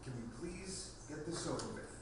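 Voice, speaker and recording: A man acting in a table read as a gruff, irritable executive who is curt and impatient. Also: fairly high pitch, expressive, normal speed, close to an everyday voice. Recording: echoey room, distant mic, noisy.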